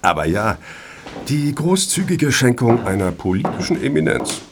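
A man's voice: mid-range voice, very animated, moderate pace, noisy background. A man playing a formally polite but pointed foundation official in a satirical radio play, with an officious way of talking. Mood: politely pointed.

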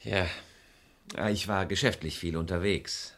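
An actor playing a troubled, deferential property dealer in a radio crime drama, polite and uneasy. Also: male voice, low voice, fairly even intonation, measured pace, a bit bright, heavily acted. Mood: polite, aggrieved. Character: troubled, deferential male property dealer visiting an older friend.

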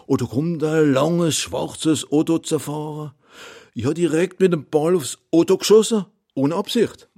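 A man's voice: a little high, measured pace, vocal fry, dark tone. An older man from Alsace with an Alsatian accent, telling anecdotes as an interview guest. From Munster valley, Alsace. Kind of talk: anecdotal storytelling.

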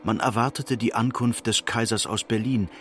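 A man reading literary narration for a radio drama in a measured storytelling manner. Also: medium pitch, fairly even intonation, fairly bright tone.

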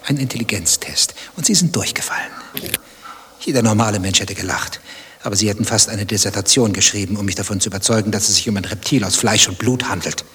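A man's voice: on the low side, fast, fairly bright tone, slightly rough. An actor playing a nosy, stubborn male stranger in a satirical German radio play, dry, probing and provocative. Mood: mocking.

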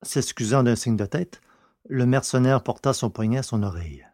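A man narrating a science-fiction story from a script in a measured, literary way. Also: medium pitch, darkish tone, no echo.

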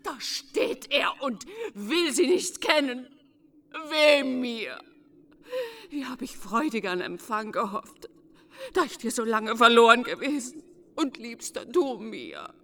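Wild heartbroken woman in a radio drama, high voice, slow anguished accusing delivery. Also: expressive, a bit bright, full character voice. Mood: wounded longing. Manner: anguished, accusing, theatrical lament in archaic literary speech. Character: wronged, wild, grief-stricken woman reproaching her lost lover. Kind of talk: German radio play acting.

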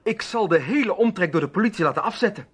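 An eager, excitable radio play character. His voice is husky and fairly high, with very mobile intonation. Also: fast talker. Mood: excited. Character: excitable, eager male ally ready to call police. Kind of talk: radio drama acting.

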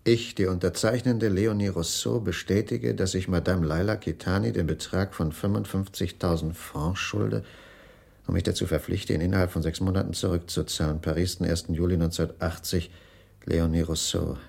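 An actor playing a gloomy, suspicious husband in a radio crime drama, brooding and halting when questioned. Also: male voice, steady tone, a little mellow, exaggerated voice. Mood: reading flatly. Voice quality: gravelly, husky low voice. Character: gloomy, suspicious dining-car waiter, husband of an injured woman.

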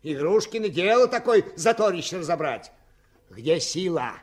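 Whiny grumbling lumber worker, high voice, sarcastic, old soviet radio drama. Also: male voice, big pitch swings, moderate pace. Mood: indignant, sneering. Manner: querulous, sarcastic. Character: grumbling, quarrelsome timber worker.

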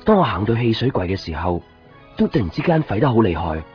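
A man's voice: on the low side, very animated, quick pace. An actor with a Hong Kong accent playing a mild, easygoing young shopkeeper who tells his story in a radio drama. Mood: storytelling. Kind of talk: radio drama narration and dialogue. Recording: dull 1990s radio drama recording. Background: prominent background music.

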